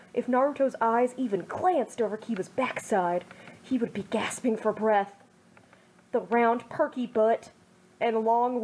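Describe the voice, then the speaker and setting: A young woman giving a gentle, earnest amateur reading of a fan fiction story on a home microphone. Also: a little high, slight background noise, low-quality recording.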